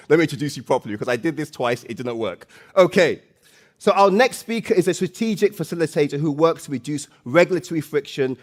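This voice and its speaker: A man hosting a live stage event, loud and playful, coaxing the audience and often repeating phrases. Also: fairly high pitch. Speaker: event host and MC.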